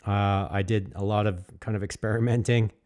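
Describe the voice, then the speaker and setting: A man's voice: lowish voice, fairly even intonation, fairly quick, a little mellow. A man narrating his own work in an easygoing, rambling way, candid and enthusiastic, with many fillers and restarts. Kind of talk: casual reflective voice-over.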